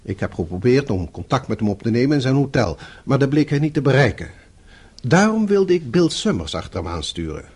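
An actor playing a courteous, persuasive broadcast journalist in a Dutch radio drama, with a polite, coaxing way of talking. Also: male voice, mid-range voice, big pitch swings, fairly quick, darkish tone, husky.

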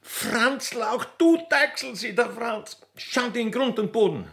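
A man's voice: very high voice, lively intonation, slow, gravelly, fairly bright tone, pitched above the performer's own voice, full character voice. Man playing an impetuous singsong Austrian girl. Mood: pleading. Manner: pleading, singsong, melodramatic. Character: impetuous, naive young railwayman's daughter who yodels. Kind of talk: radio play acting. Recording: music under the voice.

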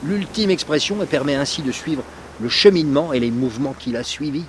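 Male speaker, rapid, raised register, noisy ambient recording. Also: a little high, animated.

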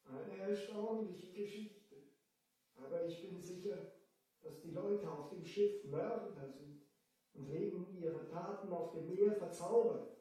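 A man's voice: high voice, measured pace, dark tone, recorded from a distance. An actor playing a solemn old male sorcerer in a vintage radio play, speaking in a stately, formal manner. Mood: grave, knowing. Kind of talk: 1970 German audio drama acting. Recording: echoey vintage LP, off the mic.

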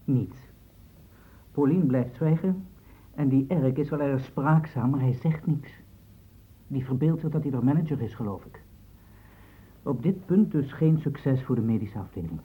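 An old radio play recording, narrow as a phone line, presents a precise male doctor. He reports in a husky, mid-pitched voice with a factual, dryly wry manner. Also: lively intonation, normal speed, pauses often. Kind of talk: radio drama acting.

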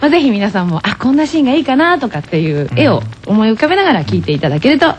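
Woman hosting casual radio chat, really quick and bouncy intonation, bit of noise behind. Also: fairly high pitch, sing-song. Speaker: radio show host.

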